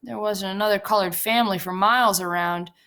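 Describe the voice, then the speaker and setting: A woman's voice: medium pitch, steady tone, brisk. Lady reading as a bitter old stable hand, snaps his words short, rural talk. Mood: reflective. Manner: sharp, blunt rural speech. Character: bitter, guarded older male stable hand.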